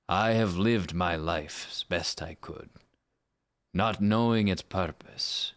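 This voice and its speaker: Man voicing a deep, solemn, world-weary assassin. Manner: measured, grave, wistful delivery. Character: solemn, world-weary older male assassin reflecting on his life.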